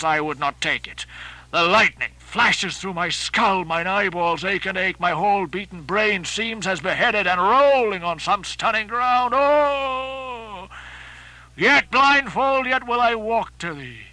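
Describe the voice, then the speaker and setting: A defiant, grandiose old sea captain, read by a man in an audiobook, declaiming archaic lines in a high voice. The tone is bright and forceful, and the lines rise sharply in pitch as he rails. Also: a little slow, heavily acted, rough recording. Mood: defiant, exalted. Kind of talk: dramatic audiobook reading.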